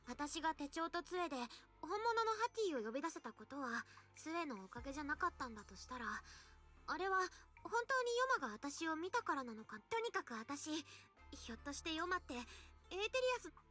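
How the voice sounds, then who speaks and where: A woman voicing a curious, cheerful young girl scholar in a game, with a bright, bubbly delivery. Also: high-pitched, sing-song, racing, faint music behind, heavily acted. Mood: earnest. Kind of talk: Japanese game voice acting.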